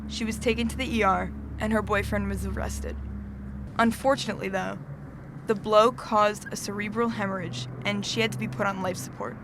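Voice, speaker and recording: Lady playing a calm earnest middle-aged therapist in an audio drama, medium pitch, measured, noticeable noise behind her. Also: lightly acted. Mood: earnest, sober. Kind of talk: student audio drama acting.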